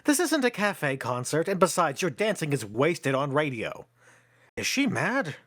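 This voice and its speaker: An actor playing an impatient, skeptical theater company manager in a radio stage play, with a brusque, exasperated delivery. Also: male voice, on the high side, wildly swooping pitch, measured pace, a bit bright, dry recording, clean studio sound, full character voice.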